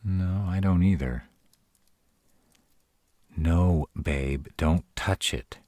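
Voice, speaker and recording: A man playing a gruff but tender, protective were-dragon boyfriend in a romantic fantasy audio roleplay, speaking to the listener with teasing care and hesitant pauses. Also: low voice, a little mellow, no room sound, clean studio sound. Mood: uneasy, cautious. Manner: tsundere, teasing yet caring, hesitant pauses.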